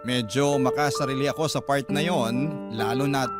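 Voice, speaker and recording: A man's voice: mid-range voice, fairly even intonation, normal speed. A man giving a scripted first-person narration of a confessional letter for a radio drama. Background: loud music.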